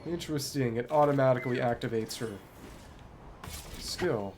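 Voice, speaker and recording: A man's voice: medium pitch, normal speed, darkish tone, a little hiss or hum. A man giving casual gameplay commentary as a streamer, thinking aloud and reading game text out as he plays. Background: game music.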